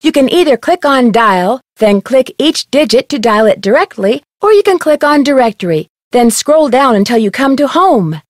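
A plucky young girl detective from a video game, inquisitive and friendly, with a fairly high, lively voice. She is recorded close and dry in a studio. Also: normal speed, few pauses, no room sound. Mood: curious. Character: young female amateur detective, curious and upbeat. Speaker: game protagonist and tutorial guide.

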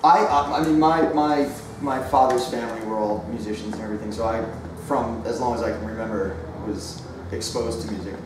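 Man band interviewee, halting speech, recorded far off with heavy room noise. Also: mid-range voice. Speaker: band member. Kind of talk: casual interview chat. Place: backstage lounge of a music venue. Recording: echoey room from far off, noisy.

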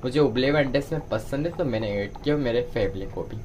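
A man's voice: medium pitch, fairly quick, a little mellow, slight background noise. A man giving a step-by-step cooking tutorial as a home cook, instructing viewers directly. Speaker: home cook presenting a recipe.

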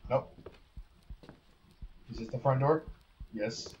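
A man mutters wry comments to himself while playing a horror game at home. His pitch sits in the ordinary middle. The recording sounds a little dull and lo-fi, with a faint hiss under it. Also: takes it slowly, a little mellow. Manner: wry, muttering, thinking aloud. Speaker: gamer. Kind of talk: casual let's play commentary.

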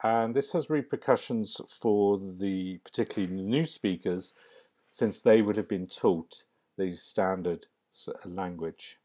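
A man giving a narrated slide lecture in a measured, academic way, pausing with frequent ums. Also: low voice, warm tone. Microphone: voice-over on slides.

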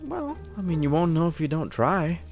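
A man's voice: a little high, very animated, moderate pace, a little mellow, faint music behind. A man playing an eager, naive young dragon slayer student in a game roleplay, talking in a casual, rambling, stammering way. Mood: casual, unsure. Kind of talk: improvised Minecraft roleplay acting.

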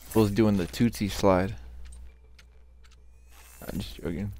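A man's voice: lowish voice, fairly even intonation, extremely slow, warm tone. A young man giving casual, slangy reaction commentary in short laid-back remarks as a game streamer.

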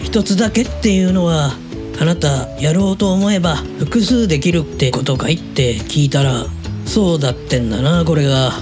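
High-pitched, easygoing middle-aged uncle played by a man. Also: normal speed, over music, natural voice. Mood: chatty, amused. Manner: casual, blunt, chatty. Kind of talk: Japanese audio drama dialogue.

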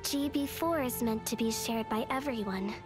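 A woman voicing a gentle, sincere artificial girl in an anime game, speaking deliberately. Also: fairly high pitch, music in the background, close to an everyday voice.

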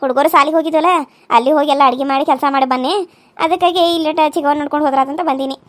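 A woman's voice: high-pitched, steady tone, natural voice. A woman voicing a kindly, fussing middle-aged visitor who coaxes and worries. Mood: welcoming. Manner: concerned, coaxing, brisk.

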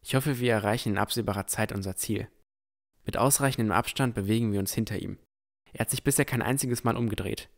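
Ein Mann spielt einen vorsichtigen jungen Entdecker, der sein Fantasy-Abenteuer bedacht und ernsthaft in der Ich-Form erzählt. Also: male voice, fairly low pitch, quick pace, lightly acted. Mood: wary. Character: cautious, thoughtful young male explorer narrating his adventure. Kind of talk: first-person scripted narration in fantasy audio drama.

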